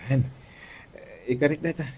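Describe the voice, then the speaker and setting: A man's voice: medium pitch, fairly even intonation, moderate pace, a little mellow, slight background noise, natural voice. A man playing a sweet-talking, proud young boyfriend in a Filipino radio drama, crooning and a little hesitant. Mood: sheepish, proud.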